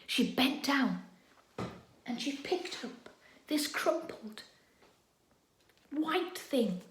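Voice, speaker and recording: A woman telling a children's story expressively and dramatically, moving between hushed and louder delivery. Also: high voice, extremely slow. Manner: expressive, swinging from hushed to loud. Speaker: storyteller, primary school staff reading to children. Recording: echoey room.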